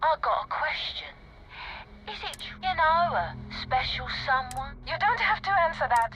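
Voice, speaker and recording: A chatty tour ship captain. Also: female voice, sits high, big pitch swings, a little slow, bright, forceful tone, muffled recording, slight background noise, heavily acted. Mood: flustered. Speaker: game character. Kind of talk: game dialogue. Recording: game audio with music behind. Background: music.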